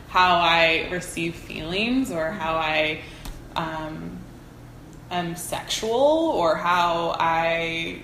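A woman's voice: low voice, bright, forceful tone, reverberant, a little hiss or hum, low-quality recording. A woman talking casually and thoughtfully in an interview, searching for her words as she goes. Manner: thoughtful, informal, searching for words in long winding sentences. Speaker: interview guest, folk herbalism teacher. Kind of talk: casual reflective interview talk.

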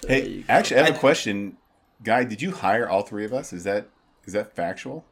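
Jokey deep-voiced co-host. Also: male voice, moderate pace, vocal fry. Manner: playful, jokey storyteller. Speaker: podcast co-host. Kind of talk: casual chat.

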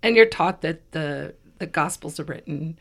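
A woman with a very low, froggy voice, hoarse and raspy from laryngitis. She co-hosts a podcast and talks in a loose, rambling way, restarting her sentences as she thinks aloud. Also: normal speed, a bit bright. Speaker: podcast co-host. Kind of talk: casual conversation.

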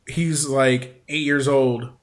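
A man's voice: mid-range voice, steady tone, takes it slowly. A man hosting a podcast, talking casually and informally in colloquial slang. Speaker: podcast host.

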